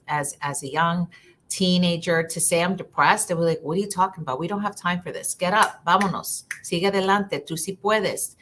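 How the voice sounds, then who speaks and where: Social worker guest from Ecuador, a woman, lowish pitch, earnest and halting, faint rumble underneath. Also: fairly bright tone. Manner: earnest, repeats words while thinking, slips into Spanish for quotes. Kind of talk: live online conversation.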